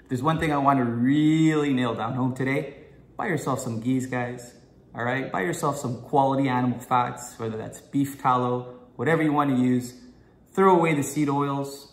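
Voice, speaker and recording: A man's voice: mid-range voice, reverberant. A man in his twenties hosting a casual food vlog from his home kitchen, chatty and enthusiastic, with many "um"s. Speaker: food vlogger, guest host.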